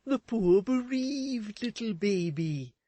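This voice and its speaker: A woman voicing a flustered, meek middle-aged husband who talks slowly and sheepishly. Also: medium pitch, animated, mellow, no echo, voice pushed up. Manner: slow, hesitant, sheepish.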